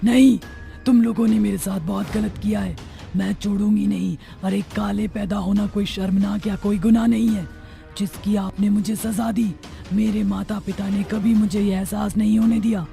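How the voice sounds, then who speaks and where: A woman's voice: medium pitch, gravelly, warm tone, lightly acted. A woman voicing the vengeful ghost of a wronged young wife in a cartoon horror story, menacing and accusing.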